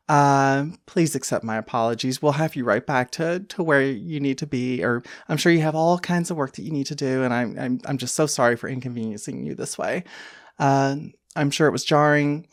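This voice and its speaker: A woman's voice: very deep voice, normal speed, dry recording, clean studio sound. Woman playing a sweetly insistent older lady program. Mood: apologetic. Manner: courteous, hesitant, endearments like sweetie and dear.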